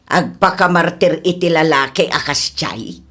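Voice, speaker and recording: A woman's voice: sits low, unhurried, fairly bright tone, husky, heavily acted. A woman playing a nosy, doting middle-aged mother in an audio comedy-drama, with an Ilocano accent and a drawling, gossipy delivery. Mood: gossipy, pitying.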